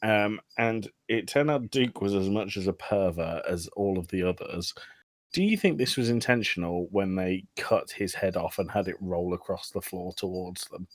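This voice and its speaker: Low-voiced man, casual podcast host. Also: moderate pace, dry recording. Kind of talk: casual film discussion podcast.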